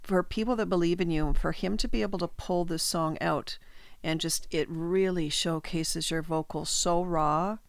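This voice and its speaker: A woman's voice: on the low side, fairly even intonation, moderate pace, no echo. A woman interviewer who praises and encourages her guest with enthusiasm. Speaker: interviewer host. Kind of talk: conversational interview.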